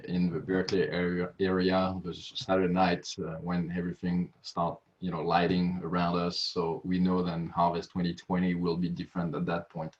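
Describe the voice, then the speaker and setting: Deep-voiced man hosting a video webinar. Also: completely flat delivery. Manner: halting, many filler pauses. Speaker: webinar host. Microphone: video call. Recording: muffled video call audio.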